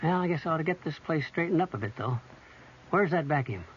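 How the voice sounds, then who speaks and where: Male actor, folksy comic braggart, chatty, higher-pitched, old-time radio promo, muffled sound. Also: lively intonation, quick pace, slight background noise, close to an everyday voice. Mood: cheerful bragging. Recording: dull 1970s radio broadcast.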